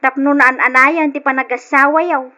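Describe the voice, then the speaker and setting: A woman's voice: high-pitched, fairly even intonation, fairly quick, pushed and bright. An actress playing a caring, concerned middle-aged mother in an old-style radio drama, earnest, with an Ilocano accent. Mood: concerned, gentle.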